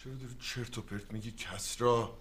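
An actor playing a young psychologist, often exasperated and pleading with people. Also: male voice, mid-range voice, fairly even intonation, a bit bright, breathy edge. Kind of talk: sitcom acting.

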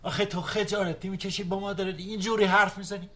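A man's voice: high-pitched, flat delivery, fairly bright tone. An actor playing a hot-tempered middle-aged father who scolds his grown son. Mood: scolding. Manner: scolding, blustering. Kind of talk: sitcom acting.

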